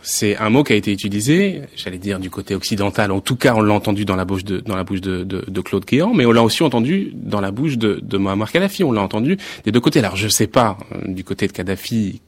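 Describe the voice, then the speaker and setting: A male interviewer with a lowish voice asks probing questions. He speaks conversationally, restarting phrases as he builds his point. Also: animated, quick pace, hardly any pauses.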